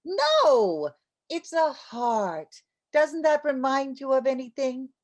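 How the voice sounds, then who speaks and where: A woman's voice: sits high, very animated, takes it slowly, full character voice. A woman playing a playful, mushy housewife in an old-time radio comedy, with a coaxing, theatrical delivery.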